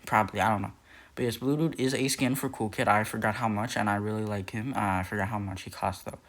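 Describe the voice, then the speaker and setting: A man's voice: on the low side, fairly even intonation, fast, a bit bright. A young man talking casually in a video, rambling with many fillers, restarts and self-corrections. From Nepal. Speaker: youtuber. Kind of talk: casual lore video narration.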